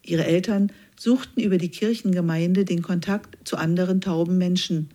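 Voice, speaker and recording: A woman reading a scripted news feature aloud in an even, measured way. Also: lowish voice. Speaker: news reader.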